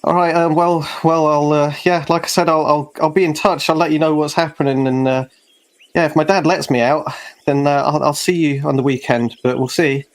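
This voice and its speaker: A man's voice: on the high side, fairly even intonation, fairly quick, pitched above the performer's own voice, lightly acted. A man playing an awkward, flustered teenage schoolboy in a tabletop game, stammering and evasive.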